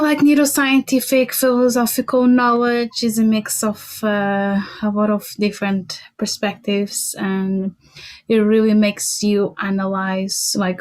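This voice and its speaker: A young woman from Portugal speaks English as a guest in a relaxed interview. Her pitch sits in the middle for a woman. She talks haltingly and often pauses to find the next word. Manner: hesitant, searching for words, light laughs. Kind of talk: casual interview chat.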